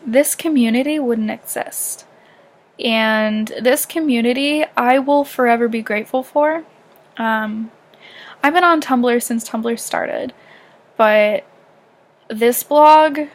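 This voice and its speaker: A young woman from southern Ontario with a light Canadian accent, rambling through a casual personal vlog. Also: fairly high pitch. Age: young adult. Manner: rambling, heavy use of fillers like "um" and "like". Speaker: vlogger.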